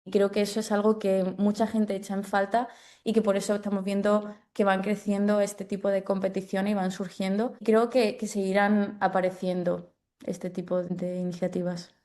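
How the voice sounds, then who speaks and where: A woman's voice: medium pitch, flat delivery, normal speed, warm tone. A woman answering as an interview guest in a conversational, explanatory and reflective way, often checking for agreement. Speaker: sports development officer, interview guest.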